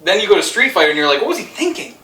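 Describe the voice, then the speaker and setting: A man's voice: high-pitched, very animated, racing, bright, forceful tone. A man debating casually and emphatically as a show co-host, opinionated and given to swearing. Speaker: co-host of a movie ranking show. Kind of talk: casual argumentative debate among friends. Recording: echoey room, distant from the mic.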